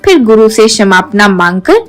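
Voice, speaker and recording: A woman's voice: mid-range voice, expressive, moderate pace, faint music behind. A woman reading a scripted children's story as its narrator.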